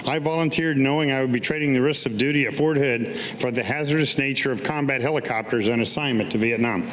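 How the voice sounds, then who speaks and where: An older man with an American accent reads his own written recollections from a podium. His voice sits at a middle pitch. The hall adds long reverb, with slight background noise. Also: fast talker, fairly bright tone. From United States. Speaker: veteran reading his own written piece. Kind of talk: staged reading of autobiographical writing. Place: public meeting room, at a podium. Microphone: podium gooseneck microphone.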